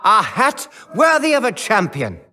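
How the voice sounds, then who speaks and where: High pitched cocky game knight, super animated, heroic boasting and battle cries. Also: male voice, a little slow, heavily acted. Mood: proud, cocky. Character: bold, gallant undead elf jousting knight, cocky and eager. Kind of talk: video game character voice lines.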